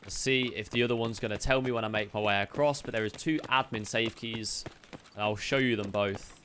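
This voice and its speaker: A man's voice: on the low side, steady tone, a bit bright, a little hiss or hum. A man casually narrating a video game loot walkthrough, chatty and upbeat, a little chesty with the odd cough. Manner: chatty, upbeat, quick asides to viewers. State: slightly chesty, occasional cough. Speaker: game streamer giving a loot guide.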